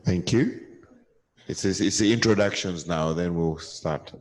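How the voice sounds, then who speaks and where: A man moderating a conference panel, brisk and jokey, who often repeats his words. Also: low-pitched. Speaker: session moderator. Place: conference hall.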